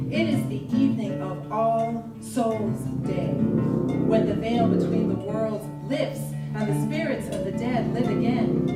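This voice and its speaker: A woman reading a literary story aloud in a measured, theatrical way at a live performance. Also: on the high side. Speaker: story reader. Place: live performance in an arts venue. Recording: roomy live venue, distant mic, music behind. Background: loud live guitar music.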